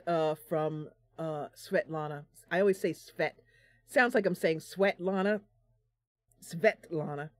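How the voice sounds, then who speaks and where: Woman gamer chatting thru a roleplay, playful, super lively pitch, close dry sound. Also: dry recording. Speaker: gaming channel host. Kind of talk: casual game roleplay narration and commentary.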